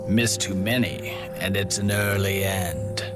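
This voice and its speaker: An actor playing a cool, menacing male contest master in a video game, taunting and theatrical, speaking in a measured, deliberate, sinister way over loud music. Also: low voice, steady tone. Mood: cool menace. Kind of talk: scripted video game narration.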